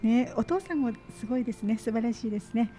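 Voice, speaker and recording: A woman's voice: fairly high pitch, animated, fast, dark tone, soft background music. A woman hosting a casual radio interview with children in a small studio, echoing and affirming what they say in an encouraging way. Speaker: radio host. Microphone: studio mic on boom arm.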